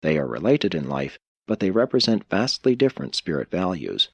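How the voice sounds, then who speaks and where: A man reading a philosophical religious audiobook in a measured, solemn, formal way. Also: low-pitched, big pitch swings, breathy edge, no echo. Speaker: audiobook narrator. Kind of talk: scripted audiobook narration of a philosophical religious text.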